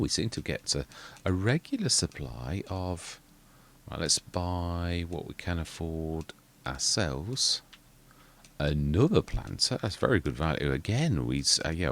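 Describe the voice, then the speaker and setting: Very deep-voiced, soft-spoken man. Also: vocal fry. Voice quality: quiet voice. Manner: rambling, self-correcting, muttering asides. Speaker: gaming channel host. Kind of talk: casual gameplay commentary.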